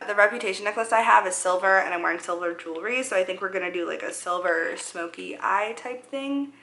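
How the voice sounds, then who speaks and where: A woman's voice: mid-range voice, brisk, vocal fry, pushed and bright, slight background noise. A young woman in her twenties chatting through a makeup tutorial at home, rambling and poking fun at herself as she works. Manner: rambling, self-deprecating, talks while applying makeup. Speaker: vlogger. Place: living room at home, indoors. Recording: echoey room.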